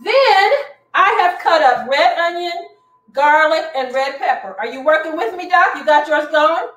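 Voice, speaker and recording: Middle-aged woman, cooking show host, high voice, chatty how-to, roomy kitchen, far from the camera. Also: lively intonation, a little slow, fairly bright tone. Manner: chatty and instructive, talks while cooking. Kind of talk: live cooking demonstration. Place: home kitchen, indoors. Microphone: far camera across the kitchen.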